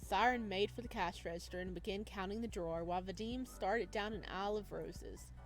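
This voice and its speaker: A woman reading a visual novel aloud as a let's player, mixing expressive narration with casual reactions. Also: mid-range voice, fairly quick, soft background music.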